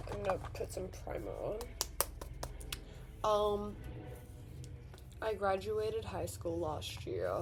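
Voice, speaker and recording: A woman's voice: mid-range voice, creaky, noisy background. An eighteen-year-old woman from Victoria with an Australian accent, chatting casually and rambling a little while she does her makeup for a vlog. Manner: chatty, rambling, self-deprecating. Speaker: vlogger. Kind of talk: casual get-ready-with-me chat. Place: bedroom vanity at home.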